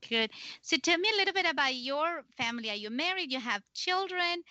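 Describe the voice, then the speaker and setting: A woman's voice: high voice, lively intonation, brisk, bright, forceful tone, dry recording, muffled recording. A middle-aged woman hosting a show and interviewing a guest in a conversational style. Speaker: talk show host and interviewer.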